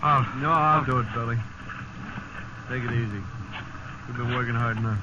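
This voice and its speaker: Actor as tired castaway, muffled old radio. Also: male voice, medium pitch, moderate pace, background noise, natural voice. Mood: weary. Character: tired, deferential male castaway in a lifeboat. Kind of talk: 1950s radio drama acting. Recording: dull old radio, sea ambience.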